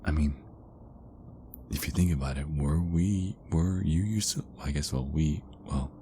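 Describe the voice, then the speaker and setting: A man playing a big, affectionate werewolf boyfriend in an intimate ASMR roleplay, murmuring hesitantly and often slipping into breathy whispers. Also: rumbling low, measured pace, dark tone. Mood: soft, nostalgic. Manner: hesitant, rambling, murmured pillow talk. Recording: clearly noisy background ambience.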